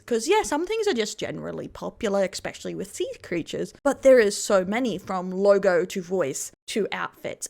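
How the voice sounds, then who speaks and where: A woman hosts a commentary video and talks through each clip in a skeptical, critical tone. Her voice sits at an ordinary middle pitch. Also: gravelly. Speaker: commentary host. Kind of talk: reaction commentary.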